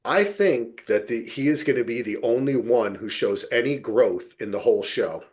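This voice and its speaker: A man's voice: medium pitch, expressive, normal speed, a little mellow. A man hosting a podcast, chatting casually and emphatically about television, acting out quoted asides as he goes. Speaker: podcast host. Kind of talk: casual TV recap discussion.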